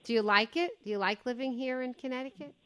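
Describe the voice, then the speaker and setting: A woman's voice: sits high, fast, sharp tone, clean tone. Chatty woman hosting a talk show. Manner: chatty, affirming. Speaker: radio talk show host. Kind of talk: interviewing a guest.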